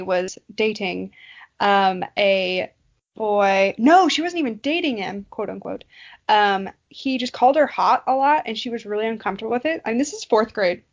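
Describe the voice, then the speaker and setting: A woman co-hosting a podcast, chatting casually and telling anecdotes in an animated, joking, slangy way. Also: a little high, muffled recording. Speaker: podcast co-host. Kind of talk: casual chat.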